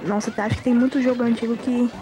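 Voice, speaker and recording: A woman's voice: on the high side, flat delivery, racing. A young woman joining a gameplay video as a guest, chatting in a playful way and speaking straight to the viewers. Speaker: guest co-player. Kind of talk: casual chat over gameplay. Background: video game music.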